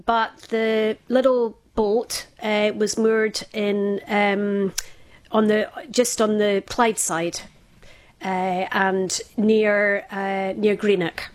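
A woman's voice: mid-range voice, flat delivery, drawn-out words, dry recording. A Scottish woman in her fifties, a radio guest telling a personal story in casual chat, hesitant, with frequent small laughs. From Scotland.

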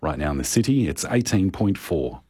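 A man reading a news bulletin and the weather in a steady, formal way. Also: deep voice, moderate pace, heavy background noise. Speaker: newsreader.

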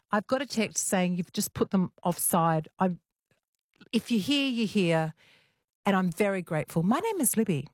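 A woman's voice: fairly low pitch, no echo, studio quality. Woman hosting late-night radio. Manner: chatty, teasing, warm interviewer. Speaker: radio host.

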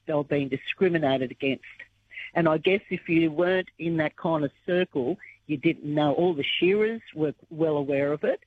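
A woman from Australia, croaky-voiced and earnest, talking emotionally in a radio interview. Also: sits low, normal speed, dry recording. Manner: earnest, emotional when recalling the past. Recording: tinny phone line.

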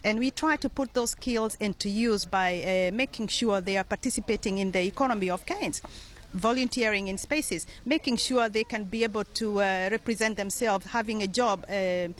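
A middle-aged woman from Kenya with a Kenyan accent, a community leader answering radio interview questions in an earnest, measured way with frequent pauses. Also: mid-range voice.

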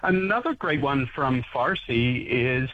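A man's voice: medium pitch, animated, unhurried, muffled recording. A man, a linguist guest on a radio show, explaining phrases he loves with enthusiasm. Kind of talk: radio interview.

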